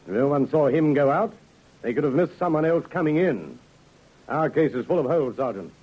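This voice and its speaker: A brisk, authoritative police inspector in a radio crime play. His fairly high male voice is rough-edged and sceptical, moving widely in pitch. It comes through a dull, grainy old recording. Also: lively intonation. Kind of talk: 1950s radio drama acting.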